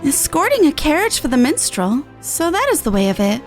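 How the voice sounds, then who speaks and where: A woman's voice: high voice, sing-song, fairly quick, faint music behind, pitched above the performer's own voice. A woman voicing an earnest, proper young woman in a fantasy game, formal and polite.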